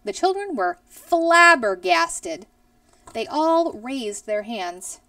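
A woman in her thirties reading a children's story aloud expressively, in a deliberate storyteller's manner. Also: high voice, very animated, vocal fry, bright, forceful tone, dry recording, far from the mic. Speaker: teacher reading aloud to pupils. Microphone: headset boom mic.

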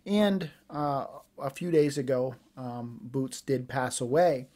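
A man's voice: mid-range voice, slow pace, warm tone. A middle-aged man hosting a solo podcast, chatting in a rambling, folksy way with plenty of ums and uhs. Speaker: podcast host. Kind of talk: casual solo podcast chat.

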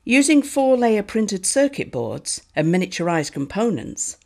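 A woman giving scripted documentary narration in a clear, measured way. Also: mid-range voice, very animated, a little mellow. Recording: voice-over with background music.

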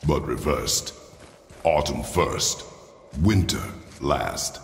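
A stern, battle-hardened warrior father in a video game. His voice is gravelly and extremely low, with terse commands that swing in pitch. It rings in a reverberant chamber. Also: animated, drawn-out words, full character voice. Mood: brooding, deliberate. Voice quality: very deep, gravelly. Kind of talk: cinematic video game acting. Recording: roomy reverberant stone chamber, game ambience.

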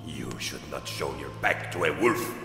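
An actor playing a wary, savage wolf warrior in an audio drama, fierce and demanding. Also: male voice, very deep voice, lively intonation, measured pace, gravelly, exaggerated voice. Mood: threatening. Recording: echoing cave-like reverb, music behind.

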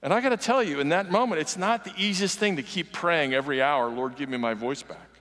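Man in his sixties, earnest conversational preacher, fairly high voice, big reverberant sanctuary. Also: a little fast. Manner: earnest, conversational preaching with animated gestures, walking the stage. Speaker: guest preacher. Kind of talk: sermon. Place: large church sanctuary. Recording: long reverb of a large church hall.

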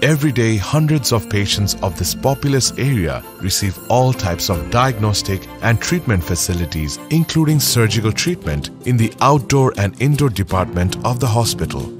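A man's voice: fairly low pitch, very animated, normal speed, hardly any pauses. A man reading a scripted promotional voice-over for a college. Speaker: promotional narrator. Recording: voice-over over loud music.

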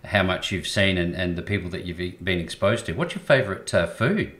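Deep-voiced man asking interview questions. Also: animated, fairly quick, fairly bright tone. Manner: friendly, asks short personal questions. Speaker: interview host.

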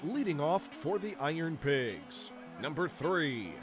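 American man, baseball PA announcer, slow deliberate pacing, showman delivery, drawn-out names, music in the background. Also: a little high, very animated. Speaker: baseball stadium public address announcer. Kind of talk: scripted sports PA announcing.

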